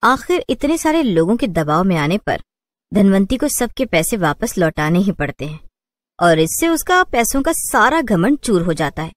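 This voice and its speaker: A woman's voice: mid-range voice, sing-song, normal speed, no room sound, clean studio sound. A woman storyteller reading scripted narration for a children's cartoon tale.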